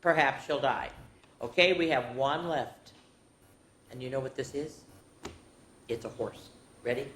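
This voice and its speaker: A woman's voice: very deep voice, unhurried, pauses often, a bit bright. A woman hosting a children's story time, chatting to young listeners and reading aloud in an interactive, sing-song way. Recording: roomy echo with background noise.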